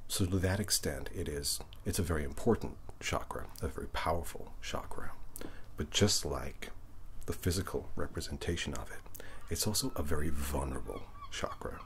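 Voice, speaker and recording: Very deep, hushed ASMR man. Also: huge pitch swings. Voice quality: hushed, breathy, often slipping into whisper. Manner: gentle, unhurried, reassuring. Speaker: ASMR reiki session host. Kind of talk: ASMR guided relaxation, speaking softly to the listener.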